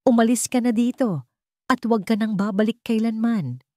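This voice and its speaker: A woman's voice: fairly high pitch, moderate pace, mellow, dry recording, pitched above the performer's own voice, lightly acted. A stern billionaire father, voiced by a woman storyteller, wounded and protective. He speaks curtly and confronts people in this romantic audio story, recorded very dry. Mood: cold, commanding.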